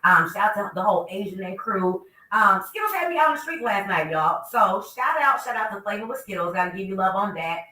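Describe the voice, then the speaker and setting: Woman radio host, bright forceful voice, slightly stuffy, roomy sound a bit off-mic. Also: mid-range voice, animated, a little fast, far from the mic. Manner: upbeat, chatty, lots of shout-outs. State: stuffy from a cold. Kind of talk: casual morning radio talk.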